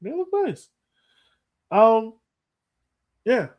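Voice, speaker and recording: A man hosting a sports podcast in casual chat, animated and often repeating phrases for emphasis. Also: very high voice, extremely slow, highs cut off. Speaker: podcast host.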